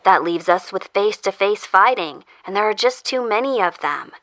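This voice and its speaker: Woman acting a bright-voiced, formal interrogator. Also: mid-range voice, animated, no echo, lightly acted, low-quality recording. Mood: earnest. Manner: formal, measured. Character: controlled, earnest female official interrogating through an intercom. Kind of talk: audio fiction acting.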